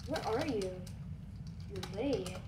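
A woman giving casual, halting gameplay commentary that often trails off, recorded on a home microphone. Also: medium pitch, animated. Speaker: gamer hosting a Roblox roleplay video. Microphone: home microphone, voice-over on gameplay. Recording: roomy, noisy home mic.

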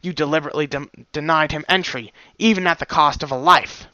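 A man voicing a gruff, commanding older unicorn stallion who scolds sternly, on a dull, lo-fi recording. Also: on the high side, normal speed, bright, forceful tone, no echo. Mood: scolding.

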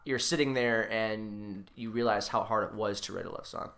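A man's voice: fairly low pitch, normal speed, creaky, a bit bright. A man hosting a casual podcast, rambling and repeating words as he works his way toward questions. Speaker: podcast host. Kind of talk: casual, unscripted interview chat.

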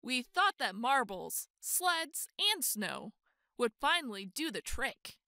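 A woman reading a children's picture book aloud in an expressive, exclaiming way with drawn-out emphasis. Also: on the high side, creaky, no room sound, clean studio sound. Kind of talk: animated children's read-aloud.

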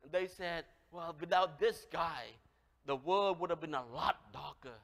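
A man in his thirties with a Cambodian accent, preaching a lively sermon with big swings from loud calls to soft words. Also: on the high side, measured pace. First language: Khmer. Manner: animated, gesturing, swings from loud exclamation to soft. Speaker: pastor. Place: church sanctuary, large, indoors. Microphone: headset. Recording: long hall reverb.

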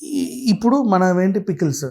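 Man, Telugu accent, slightly high pitch, casual explainer, talkative, English words mixed in. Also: very animated, moderate pace, creaky, darkish tone. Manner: talkative, explains with everyday examples and lists, mixes in English words.

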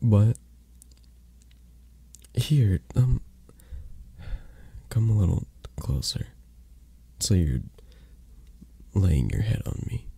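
A man playing a flirty, possessive, tender mafia boss in an intimate ASMR roleplay, hushed and halting, drifting into whispers. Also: fairly low pitch, sing-song, creaky, breathy edge, no echo, heavily acted. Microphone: close microphone, dry sound.

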